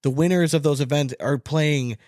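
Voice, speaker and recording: A man's voice: fairly high pitch, normal speed, darkish tone, no echo, clean studio sound. A man hosting a casual sports podcast, chatting in a blunt, sweary, slangy way. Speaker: podcast host.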